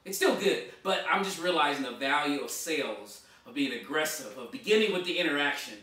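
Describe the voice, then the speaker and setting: An animated man in his thirties talks casually to camera from a dining room, his voice bright and forward. The mic sits some way off, so his voice carries a strong bouncing room echo. His delivery is lively and conversational. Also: fairly high pitch, fairly quick. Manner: animated, gesturing with both hands. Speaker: blogger and author. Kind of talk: casual vlog talk to camera. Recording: echoey room from far off.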